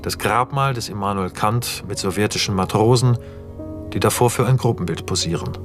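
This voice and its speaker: A man narrating screenplay directions in a radio drama, in a measured, matter-of-fact way. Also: on the low side, lightly acted. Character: sober narrator reading out screenplay scene directions.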